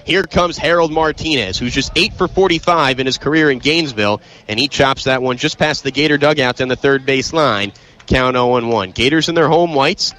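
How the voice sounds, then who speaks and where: A young American man calling live baseball play-by-play, emphatic and excitable on big plays. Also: on the high side. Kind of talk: live sports commentary.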